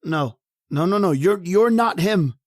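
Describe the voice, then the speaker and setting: Woman cast as a nervous male lab hand in radio horror, slow-spoken, dry mic. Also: on the low side, animated, darkish tone, no room sound. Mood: vengeful. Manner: nervous, slow, then fierce. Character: frightened male lab assistant turned vengeful. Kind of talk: old-time radio horror acting.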